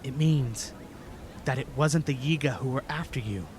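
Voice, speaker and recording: A man's voice: medium pitch, normal speed, darkish tone, natural voice. A man playing a serious, determined young hero in a fan audio drama, speaking intently. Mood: grim resolve. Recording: clearly noisy amateur recording.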